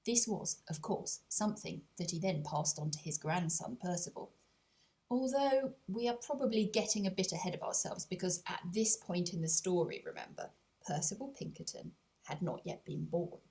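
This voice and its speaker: A woman narrating a scripted storybook as an audiobook, in an expressive storytelling manner. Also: fairly low pitch, moderate pace, poor audio. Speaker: author narrating her own audiobook.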